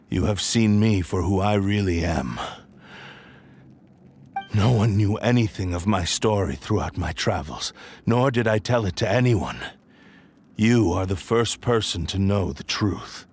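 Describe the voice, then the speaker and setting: A grieving sword spirit with an ancient soul speaks in a very deep voice. He is slow and grave and sighs between his thoughts. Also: expressive. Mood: weary grief. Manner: slow, weary and grave, sighing. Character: ancient sentient male sword spirit, sorrowful.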